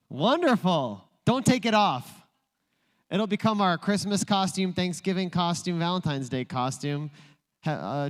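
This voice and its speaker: A male pastor preaching a sermon in a playful, joking storytelling way. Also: high voice. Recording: long reverb in a large room.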